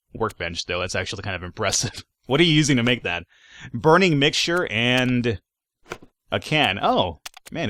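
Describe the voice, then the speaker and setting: A young man hosting a let's play, commentating casually with lots of fillers and jokes, his voice going a little hoarse. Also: mid-range voice, pushed and bright, no echo. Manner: rambling, filler-heavy, joking. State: slightly hoarse.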